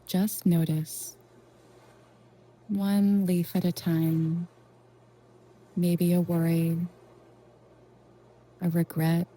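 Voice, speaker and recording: Slow, very mellow woman guiding meditation. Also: fairly low pitch. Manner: gentle, reassuring, unhurried with long pauses. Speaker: guided meditation host. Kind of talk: soothing guided meditation narration.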